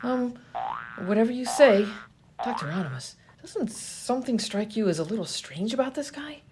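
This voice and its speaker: A woman's voice: on the high side, big pitch swings, moderate pace, background noise. A woman playing a sarcastic, impatient adventurer in a comedy radio play, with a dry delivery. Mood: baffled.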